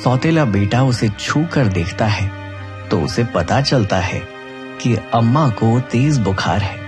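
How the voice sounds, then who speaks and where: A man's voice: medium pitch, expressive, slow pace, music in the background. A man narrating a scripted moral story as a storyteller.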